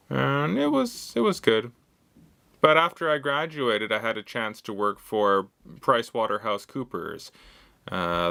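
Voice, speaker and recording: Bright, clear-voiced Canadian man in his thirties. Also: lowish voice. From Canada, West Coast. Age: 30s. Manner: simple sentences, frequent "uh" fillers, questions to the viewer. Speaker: English teacher. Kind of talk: slow, clear lesson monologue for learners.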